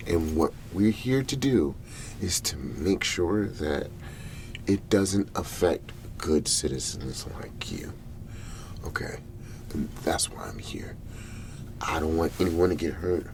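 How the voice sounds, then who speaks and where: A hesitant, reassuring government agent, played by a man for an ASMR roleplay. The voice is extremely deep and slow, slipping between creaky murmur and soft whisper. The delivery is halting and careful, placating the listener with long pauses. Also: expressive, a little hiss or hum, full character voice. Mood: earnest, reassuring. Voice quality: soft, breathy half-whisper with gravelly low murmur.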